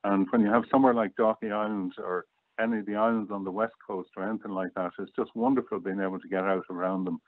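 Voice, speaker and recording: A man's voice: on the low side, fairly even intonation, quick pace, no echo. A middle-aged Irish man chatting casually as an interview guest, reminiscing over a call. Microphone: online call or phone line. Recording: muffled phone-like, dry online call.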